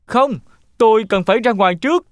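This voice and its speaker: A man's voice: sits high, lively intonation, fairly quick, dry recording, clean studio sound. A man playing a kind, wary young hero in a cartoon fairy tale. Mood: defiant. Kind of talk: animated fairy tale dub.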